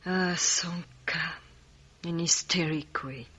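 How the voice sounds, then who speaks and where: Haughty, guarded woman with husky voice. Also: sits low, a bit bright, full character voice. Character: proud, guarded, jealous bereaved woman. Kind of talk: French radio mystery drama acting. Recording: dull vintage-style radio recording.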